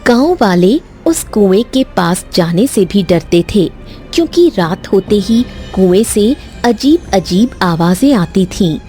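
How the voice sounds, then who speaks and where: A woman narrator tells a scripted children's story. Her pitch sits in the middle and her pace is unhurried, over a faint music bed. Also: animated, dark tone.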